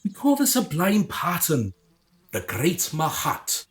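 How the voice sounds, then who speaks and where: A performer playing a haughty, excitable, condescending alien in human guise in a comic radio drama. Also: medium pitch, slow. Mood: indignant outrage. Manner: pompous, exasperated, condescending.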